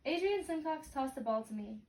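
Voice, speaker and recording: A woman's voice: sits high, lively intonation, brisk, a little mellow. A woman reading a picture book aloud expressively to a child, as if leading a read-aloud lesson. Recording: roomy, distant mic, clicks and clear noise.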